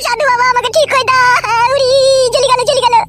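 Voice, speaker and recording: A woman's voice: extremely high pitch, little pitch movement, quick pace. A woman voicing a crude, whiny, hapless young village man in a comic cartoon, grumbling and complaining. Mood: panicked wailing. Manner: grumbling, foul-mouthed, whining complaints. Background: music.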